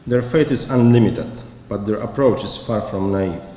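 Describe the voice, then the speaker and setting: A man, a curator giving a conference lecture from a prepared paper, speaking haltingly with many pauses. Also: fairly low pitch, lively intonation, mellow. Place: lecture hall. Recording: echoey hall, faint background noise.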